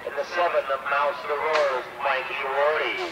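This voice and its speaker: A man's voice: mid-range voice, little pitch movement, normal speed, lo-fi sound. A man calling a race live in a clipped chant of names and numbers. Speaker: motocross or BMX race announcer. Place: outdoor race track. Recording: distant public address over track noise.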